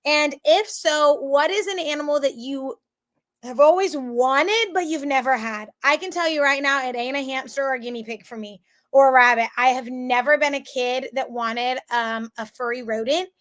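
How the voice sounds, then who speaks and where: American lady teaching online, high bright voice, super chatty, sounds like she's straining with a cold. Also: normal speed. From central Florida, United States. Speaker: math teacher leading an online class. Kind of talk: casual chatty live lesson.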